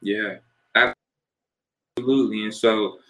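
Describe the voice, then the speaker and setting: A young man from New Jersey co-hosting a casual podcast, relaxed and easygoing as he gives shout-outs and asks questions. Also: on the low side, a little slow, rough recording. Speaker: podcast co-host.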